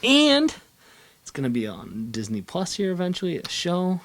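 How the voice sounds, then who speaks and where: A man's voice: sits high, wildly swooping pitch, moderate pace, fairly bright tone. A man hosting a comic book video series in casual, conversational vlog style, upbeat and coaxing as he chats with a partner and addresses viewers.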